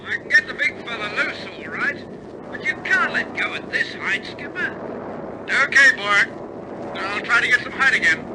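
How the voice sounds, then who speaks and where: A man's voice: very high-pitched, animated, moderate pace. A man playing an RAF bomber crewman in an old-style radio drama, speaking in a clipped, urgent, stoic military way. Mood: tense, stoic. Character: male RAF bomber crewmen in tense wartime flight. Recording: noisy old recording, loud aircraft engine drone. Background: aircraft engine roar.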